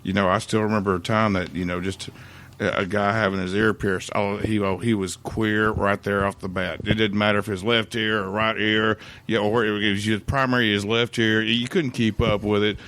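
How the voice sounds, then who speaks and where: A man's voice: on the low side, level tone, normal speed, a bit bright. A man from Middle Tennessee, rambling in a casual podcast chat with many fillers. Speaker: podcast co-host.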